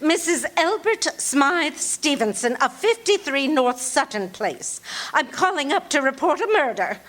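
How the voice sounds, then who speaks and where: A woman's voice: high voice, very animated, a little slow, fairly bright tone, exaggerated voice. An actress playing a fretful, imperious bedridden wife in a live radio-theatre show, indignant and fussy, stumbling over her words. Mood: anxious, insistent. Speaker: lead actor in a radio play. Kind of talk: live radio-theatre acting before an audience.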